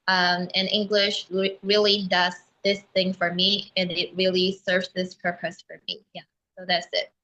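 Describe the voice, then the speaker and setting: A young woman from Malaysia, Mandarin her first language, speaks in a bright, clear voice. She answers in a measured, earnest way with a few hesitations. The video call audio is a bit dull and muffled. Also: on the low side, level tone. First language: Mandarin Chinese. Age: young adult. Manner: earnest, measured, with frequent ums. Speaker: guest. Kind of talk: casual video call interview.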